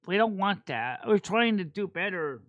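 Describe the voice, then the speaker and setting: A man hosting a casual chat stream about tarot cards, talking in a rambling, halting way with many fillers and restarts. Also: high voice, animated, a bit bright, dry recording, lo-fi sound. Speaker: host.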